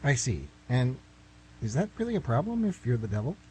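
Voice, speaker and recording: A man's voice: mid-range voice, big pitch swings, brisk, a little mellow, natural voice. A man playing a nervous, reluctant, wry writer in a comic radio play, with a hesitant, protesting manner. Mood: skeptical. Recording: amateur recording, noisy with hum.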